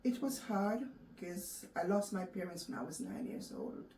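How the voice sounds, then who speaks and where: A woman from Jamaica with a Jamaican accent, recounting her life story in a soft, reflective way with halting restarts. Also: lowish voice. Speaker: interview guest. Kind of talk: personal storytelling in an interview. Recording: echoey room, distant mic, faint background noise.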